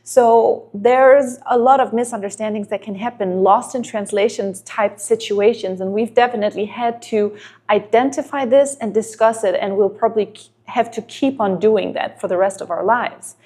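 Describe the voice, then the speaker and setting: A woman's voice: medium pitch, normal speed, dark tone. A woman from Denmark, a native Danish speaker in her thirties, giving earnest relationship advice to camera and asking many rhetorical questions.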